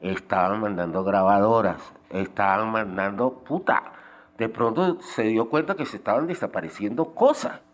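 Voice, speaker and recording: A man's voice: on the low side, moderate pace. A man reminiscing in an interview, speaking colloquially with some salty language. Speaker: interviewee, former guerrilla radio member.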